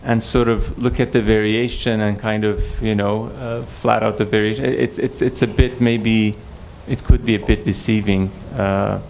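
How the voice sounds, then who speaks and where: A man's voice: fairly low pitch, steady tone, moderate pace, gravelly, few pauses, darkish tone, a little hiss or hum. Man asking a rambling conference question. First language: Persian. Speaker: audience member.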